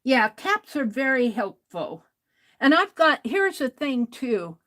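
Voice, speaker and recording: A woman hosting an art livestream, talking casually to chat in a loud voice, rambling and restarting her sentences. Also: high-pitched, sing-song, takes it slowly.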